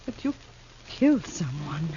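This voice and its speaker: On a muffled, noisy old radio Western, a widowed frontier mother. Her voice is dark and hushed, gentle and pleading, full of lonely compassion. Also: deep voice, very animated, unhurried. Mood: tender, sorrowful. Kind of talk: 1950s radio Western acting.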